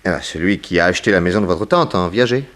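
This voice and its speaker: Shrewd police investigator, vintage French radio play. Lively melodic swings, somewhat deep, insistent and commanding. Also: male voice, quick pace. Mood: probing. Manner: pointed, authoritative questioning. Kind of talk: 1960s French radio drama acting.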